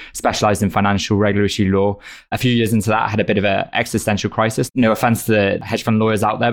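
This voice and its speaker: A man speaking as an interview guest, explaining his work in a conversational way. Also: on the low side. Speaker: startup co-founder, interview guest.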